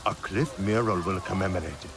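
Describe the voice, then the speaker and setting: A man's voice: low-pitched, brisk, noisy background. A man playing a hearty, grandiose alien clansman in a video game, jovial and declamatory. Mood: grand, friendly.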